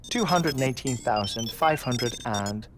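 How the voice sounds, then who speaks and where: An actor playing a calm, measured, dryly wry man who dies every twenty-one minutes, in a video game cutscene. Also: medium pitch, lightly acted. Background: game soundtrack music.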